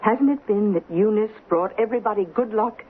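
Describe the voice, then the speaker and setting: A woman's voice: medium pitch, fairly quick, phone-quality sound, lightly acted. A woman acting in a radio drama as an affectionate, reasoning wife, with a coaxing, explanatory and theatrical delivery. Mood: eager, persuasive. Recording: thin, noisy old radio broadcast.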